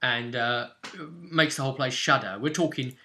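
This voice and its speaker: A man's voice: mid-range voice, moderate pace, pushed and bright. A British man casually recapping a tabletop role-play session as game master, telling it like a story in loose, broken pieces.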